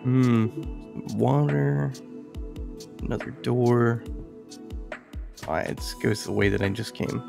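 A man's voice: mid-range voice, takes it slowly, vocal fry, pauses often, over music. A man giving casual gameplay commentary, musing and thinking aloud as he goes. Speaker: game streamer reviewing indie games.

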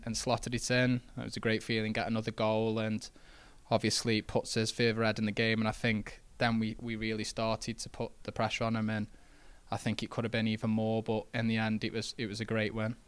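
A young footballer speaks in a low, very level voice that barely rises or falls. His tone is smooth and clear, close on a handheld mic with little room sound. He talks modestly, with plenty of fillers. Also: brisk, no echo. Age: young adult. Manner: relaxed, modest, many fillers and restarts. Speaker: footballer after a match. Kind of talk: post-match interview. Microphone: handheld interview mic.

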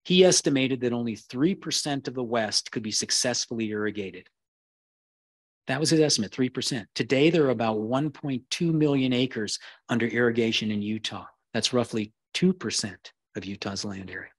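Man giving a measured history lecture. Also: medium pitch, no room sound. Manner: measured, with occasional restarts. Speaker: keynote speaker.

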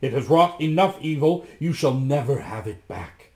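Man acting a loud, defiant storybook hero. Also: medium pitch, big pitch swings, normal speed, pitched above the performer's own voice, lightly acted. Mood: outraged. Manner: loud, declamatory. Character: impassioned young male storybook hero, defiant and earnest. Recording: echoey room.

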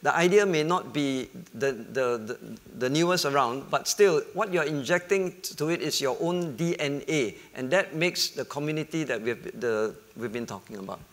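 A middle-aged man moderating a conference panel, explaining ideas in an animated way and fielding questions, on a lapel mic. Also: medium pitch, moderate pace, hardly any pauses. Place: conference hall stage.

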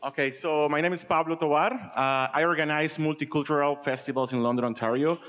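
A man's voice: a little high, steady tone, a little fast, fairly bright tone. Brief: man, 30s, easygoing guest talk, handheld mic, long hall echo. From Colombia. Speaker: festival organizer guest. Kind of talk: casual onstage interview. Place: museum event room with live audience.

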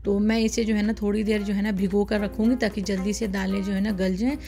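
A woman's voice: mid-range voice, flat delivery, a little fast, mellow, soft background music. A woman hosting a cooking channel, talking through each step of a recipe in a chatty, casual way with plenty of fillers. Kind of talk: casual cooking demonstration voice-over.

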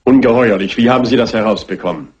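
An actor playing a haughty, formal gentleman hotel owner in a dubbed classic crime film. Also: mid-range voice, quick pace, breathy edge, natural voice. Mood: indignant. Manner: pompous, formal. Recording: muffled, noisy old film soundtrack.

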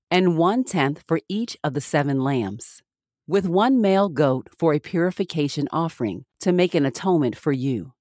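A woman reads scripture aloud in a formal, deliberate, measured manner with clear phrasing. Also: low-pitched, darkish tone, no echo, studio quality. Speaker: podcast scripture reader.

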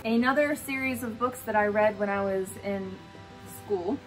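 A woman's voice: medium pitch, moderate pace, loud music behind the voice, low-quality recording. A woman in her thirties with an American accent, chatting casually as a homeschooling mother hosting a home vlog. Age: 30s. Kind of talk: casual unboxing vlog chat. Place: small furnished room at home, indoors.

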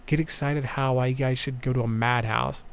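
A man's voice: medium pitch, steady tone, moderate pace, creaky, clear, resonant tone. A man giving casual, sarcastic reaction commentary, reading text aloud and reacting with incredulous, sweary asides. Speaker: host of a manga review reaction video. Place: voice-over on a screen recording.

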